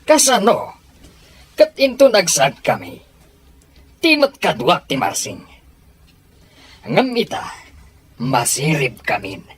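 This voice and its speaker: A man's voice: a little high, very animated, takes it slowly, long pauses, a bit bright, husky, heavily acted. A man acting a defensive henchman in an audio drama, protesting as he promises to get the job done. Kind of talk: Ilocano radio-style audio drama acting.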